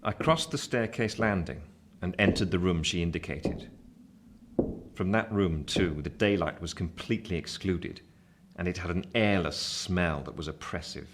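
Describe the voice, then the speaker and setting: A man's voice: low-pitched, moderate pace, noisy background, natural voice. A man acting a reflective narrator who recalls his boyhood in a scripted radio drama. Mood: measured storytelling.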